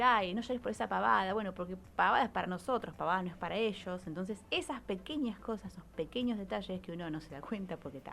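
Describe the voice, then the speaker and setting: A woman's voice: on the low side, a little hiss or hum. An Argentine woman explaining things conversationally as a psychologist on the radio, often adding short tag questions to seek agreement. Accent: Argentine Spanish. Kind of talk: conversational explanatory interview talk. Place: radio studio.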